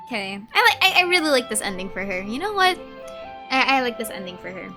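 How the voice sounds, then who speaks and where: A woman's voice: a little high, big pitch swings, fast. A woman hosting a gameplay video, giving casual, effusive reactions and often repeating her phrases. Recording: game music under the voice.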